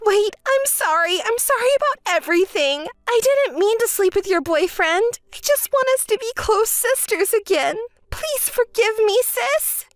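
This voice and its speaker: Woman voicing a spoiled sister, shrill, self-pitying, begging, sing-song swings in pitch. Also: lively intonation, unhurried, hardly any pauses, dry recording, studio quality, soft background music. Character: whiny, selfish young woman, a pleading spoiled sister. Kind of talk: storytelling with acted dialogue.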